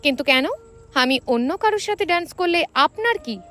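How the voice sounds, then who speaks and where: A stubborn young woman, voiced by a female narrator in a romance storybook. She speaks high and indignant, with lively swings of pitch as she challenges and questions. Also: a little fast, a little hiss or hum. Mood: stubborn, questioning. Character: stubborn, annoyed young woman resisting a possessive man. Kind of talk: audio storybook dialogue.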